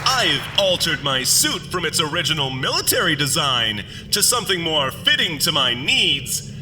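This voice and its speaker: An otherworldly monster with the airs of a grand playwright, pompous and showy. The pitch is high and swoops dramatically, as in a radio play. It rings out in a long reverb. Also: male voice, very animated, moderate pace, over music. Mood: self-important. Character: theatrical alien monster, pompous self-styled thespian playwright. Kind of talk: audio drama acting.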